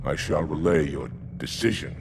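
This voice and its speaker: An actor playing a dutiful, proud alien warrior commander in a video game, formal and restrained. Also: male voice, a bass voice, moderate pace, creaky, noisy background, full character voice. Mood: deferential, guarded. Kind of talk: theatrical video game acting.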